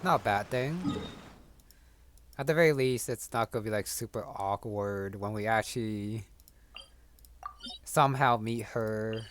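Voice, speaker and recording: A man giving casual commentary on his gameplay, musing aloud in a low-key way. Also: unhurried, long pauses, slight background noise. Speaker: gamer commenting on his playthrough. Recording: loud game music and effects behind. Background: game music, combat crashes.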